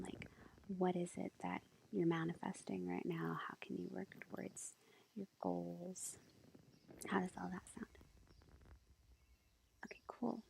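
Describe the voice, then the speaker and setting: A woman speaks softly in an intimate ASMR roleplay, often slipping into a breathy whisper. Her voice crackles with heavy fry, and she takes her time, hesitating gently and casually between phrases. The lo-fi recording carries faint background noise. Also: mid-range voice. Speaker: ASMR roleplay performer as a tarot-reading witch girlfriend. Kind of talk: soft-spoken, half-whispered ASMR roleplay. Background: faint forest ambience with bird song.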